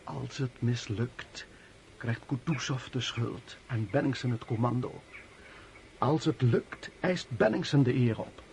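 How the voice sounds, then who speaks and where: An actor in a Dutch radio drama playing a shrewd, cynical Russian officer with a knowing delivery, faint noise on the recording. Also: male voice, medium pitch, unhurried, gravelly, darkish tone.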